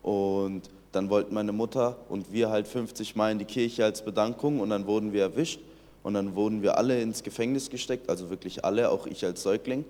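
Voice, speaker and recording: A man's voice: lowish voice, a little fast, dark tone, in a large hall. A young man from Iran in his late teens, telling his personal faith testimony earnestly, often restarting his sentences. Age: young adult. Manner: earnest storytelling with many restarts.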